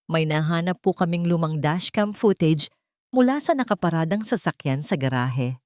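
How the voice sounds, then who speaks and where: A woman's voice: sits low, expressive, normal speed, a little mellow, dry recording. Woman voicing a deferential Filipino security chief, dry. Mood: grave, hesitant. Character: deferential male head of security reporting by phone.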